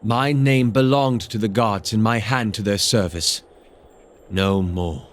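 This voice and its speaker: A man's voice: on the low side, slow pace. An actor playing a proud, disillusioned male former priest in a video game, speaking firmly and resolutely. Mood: defiant, resolute. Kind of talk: dramatic video game dialogue.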